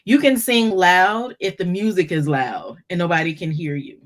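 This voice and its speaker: A woman in her fifties hosting a conversation, enthusiastic and stumbling over repeated words when excited. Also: on the low side, moderate pace. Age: 50s. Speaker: podcast host, business coach. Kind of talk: casual conversation.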